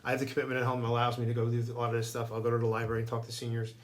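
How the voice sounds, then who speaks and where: Fast-talking American man, earnest. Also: medium pitch, monotone. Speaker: fire chief, guest. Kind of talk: conversational interview talk.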